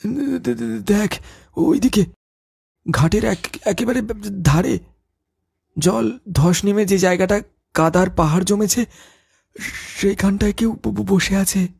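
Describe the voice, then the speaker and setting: A very husky, creaky, high voice of a man acting a superstitious young villager in a cartoon ghost tale. He is earnest and nervous, hesitant with fear. The sound is close and dry. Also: slow, long pauses, darkish tone, no echo, full character voice. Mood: frightened. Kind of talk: Bengali cartoon ghost story dialogue.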